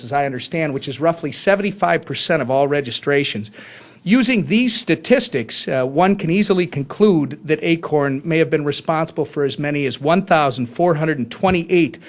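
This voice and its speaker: A male politician with a moderately high voice gives remarks at a press conference. His delivery is deliberate, with emphasis on key points.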